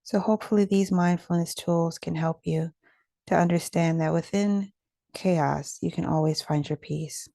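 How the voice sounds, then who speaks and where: A woman leading a guided meditation in a gentle, soothing, measured way, with pauses between phrases. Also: fairly low pitch, steady tone, vocal fry, no room sound.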